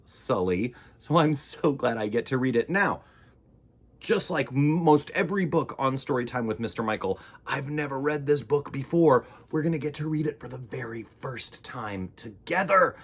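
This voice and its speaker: A man hosting a children's read-aloud, talking in an excitable, theatrical way. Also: mid-range voice. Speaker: storytime host.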